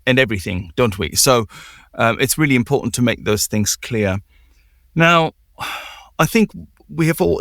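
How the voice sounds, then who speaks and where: A man hosting a recovery podcast, asking gentle questions and restarting his phrases often. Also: fairly low pitch, no echo, clean studio sound. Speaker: podcast host. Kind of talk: empathetic interview.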